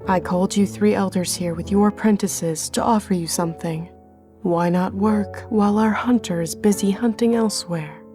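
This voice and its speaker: A woman playing the Reaper, a calm and cunning leader of soul-takers, speaking in a measured, coolly authoritative way in an audio drama. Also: mid-range voice, lightly acted. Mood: cool, pointed. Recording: music behind the voice.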